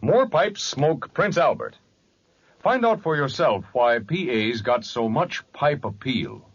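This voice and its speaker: A man's voice: medium pitch, slightly rough. A man reading a scripted 1940s radio advertisement. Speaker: commercial announcer. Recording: dull 1940s radio recording.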